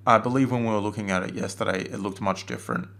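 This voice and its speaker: A man's voice: fairly low pitch, very animated, fast, gravelly, a bit bright. A man with a cold and a strained voice giving a casual chart-analysis webinar, hesitating often with fillers and restarts. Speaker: forex trading analyst.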